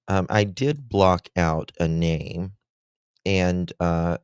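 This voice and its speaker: A man hosting a true-crime livestream in casual chat, with a deep voice and a slow, halting way of talking full of fillers. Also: a little mellow, no room sound. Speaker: livestream host.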